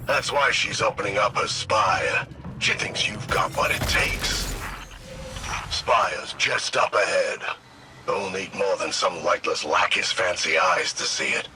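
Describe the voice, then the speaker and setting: A man's voice: sits low, background noise. An actor voicing a smug, sneering little ghost henchman in a video game, taunting and cocky in plain slangy speech. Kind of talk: video game character acting.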